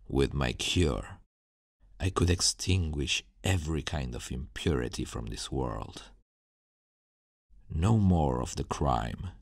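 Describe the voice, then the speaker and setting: A man playing a zealous, self-righteous villain scientist in an ASMR roleplay, speaking in a deliberate, theatrical, intense way with a gravelly, husky voice. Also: rumbling low, no echo, clean studio sound, heavily acted. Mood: fervent, persuasive. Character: menacing, zealous male supervillain scientist, self-righteous and brooding.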